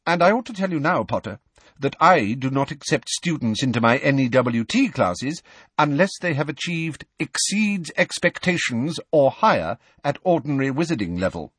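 Stern older lady teacher, as a guy reads her, higher pitched, curt and clipped, dry close sound. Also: a bit bright, slightly rough, no echo. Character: stern crisp older female professor.

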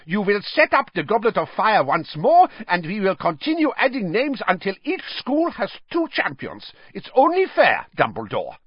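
An oily, scheming headmaster with a voice pitched far above the male narrator who plays him. He lays on false charm, then turns shrill and indignant. It is a dry, slightly dull audiobook recording. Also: huge pitch swings, moderate pace, hardly any pauses, breathy edge, dry recording, exaggerated voice. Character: oily, scheming middle-aged headmaster, turning furious.

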